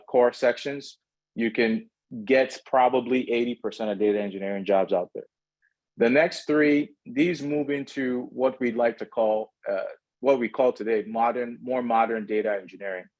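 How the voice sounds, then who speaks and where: A man presenting at an online info session, laying out learning steps in a steady explanatory way with some fillers. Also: medium pitch, moderate pace, rough recording.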